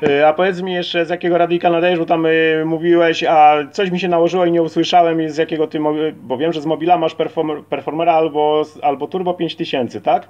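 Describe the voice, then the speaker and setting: A man's voice: a little high, flat delivery, fast, few pauses. A man chatting casually as an amateur CB radio operator during a radio contact. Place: at a CB radio set. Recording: dull lo-fi audio, faint radio noise.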